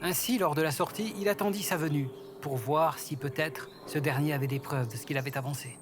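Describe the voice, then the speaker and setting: A man's voice: fairly high pitch, brisk, background noise. A man reading scripted narration for a French fantasy audio story. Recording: loud music under the voice.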